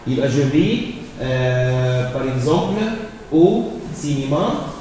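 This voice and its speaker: A man teaching a French lesson, explaining exercises slowly and hesitating with repeated words and fillers. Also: mid-range voice, expressive, mellow, a little hiss or hum. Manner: hesitant, repeats words and fills pauses with euh. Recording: echoey room, voice from far off.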